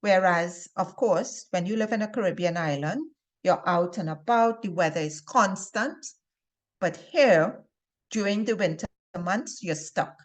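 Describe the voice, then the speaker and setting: A woman with a Trinidadian accent speaks at a slow pace in a slightly low voice. She presents health advice informally, straight to her viewers, in a deliberate way that leans on key words for emphasis. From Trinidad and Tobago. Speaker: health and wellness video presenter. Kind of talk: informal instructional talk to viewers.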